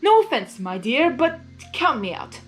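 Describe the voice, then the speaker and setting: Storybook chaos creature, male, played by a woman: reluctant, grumbling, loud and theatrical, faint music underneath. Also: high-pitched, big pitch swings, unhurried, pitched above the performer's own voice. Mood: incredulous. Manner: exasperated, blustering.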